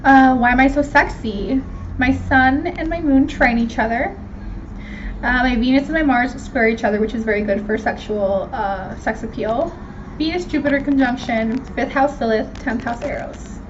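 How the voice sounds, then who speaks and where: A woman's voice: on the high side, moderate pace. A woman of twenty-nine with an American accent, chatting casually and candidly in a slangy, self-mocking way as she hosts a question-and-answer vlog. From Miami, Florida. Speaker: video host answering viewer questions. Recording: dull lo-fi sound with background noise.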